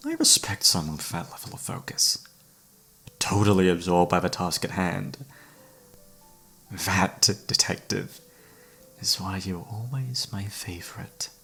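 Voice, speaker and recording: A man's voice: low-pitched, big pitch swings, slow pace, pauses often, a bit bright, slightly rough, full character voice. A man acting an obsessive, flirtatious serial killer who holds a detective captive, speaking with teasing mock courtesy in an ASMR roleplay. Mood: calm, coaxing. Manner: teasing, mock-polite, theatrical, savouring words. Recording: background music under the voice.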